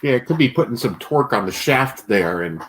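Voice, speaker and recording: A man's voice: mid-range voice, lively intonation, brisk, a bit bright, a little hiss or hum, low-quality recording. Guy chiming in on a stream chat, voice jumps around a lot, tossing out ideas. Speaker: live-stream participant. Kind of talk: casual chat.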